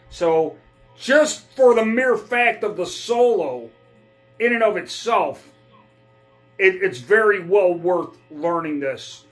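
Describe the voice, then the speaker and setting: A middle-aged man giving a relaxed, casual guitar lesson, often checking in with "all right?" and "okay?". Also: on the high side. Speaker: guitar teacher. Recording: faint guitar playing between phrases.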